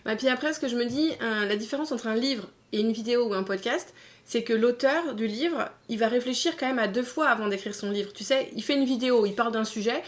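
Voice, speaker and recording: A woman's voice: fairly high pitch, brisk. A woman hosting a casual interview, asking questions and encouraging her guest with frequent agreement. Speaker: interviewer, host.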